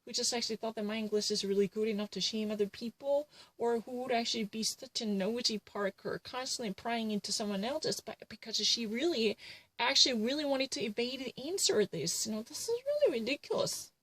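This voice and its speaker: A woman's voice: on the high side, brisk, fairly bright tone, breathy edge. A woman ranting to camera in an indignant, repetitive way, filling her sentences with "actually" and "you know". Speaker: vlogger.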